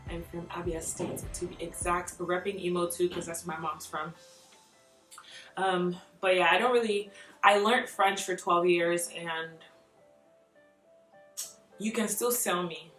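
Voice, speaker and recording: A young woman vlogging, chatting through tag questions in an animated way, laughing often and filling her talk with "like.". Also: on the low side, a little slow, pauses often. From Nigeria. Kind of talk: casual chat answering tag questions. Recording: roomy echo, mic a bit far off, faint music behind.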